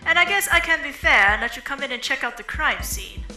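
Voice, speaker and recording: Woman playing a sneering, high-voiced hostage taker. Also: expressive, brisk. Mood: commanding. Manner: sneering, commanding, taunting. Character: scornful, menacing female hostage taker with a mocking laugh. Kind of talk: fan dub of a courtroom mystery game. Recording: roomy sound with music behind.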